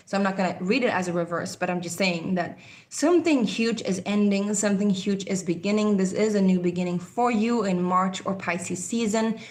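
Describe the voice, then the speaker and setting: Swedish-accented woman, casual tarot reader, meandering and repetitive, mid pitch, roomy echo. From Sweden. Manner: meandering, intuitive, repeats key phrases. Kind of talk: casual tarot card reading.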